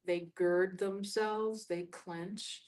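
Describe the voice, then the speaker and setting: A woman facilitating a reflective discussion in a thoughtful, measured way, choosing her words with care. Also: mid-range voice, fairly even intonation, darkish tone.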